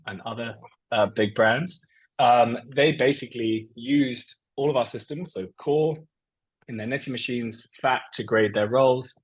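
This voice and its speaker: A man's voice: fairly low pitch, low-quality recording. A man giving a loose, conversational business presentation over slides, with many fillers as he talks. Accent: non-Portuguese. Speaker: company presenter.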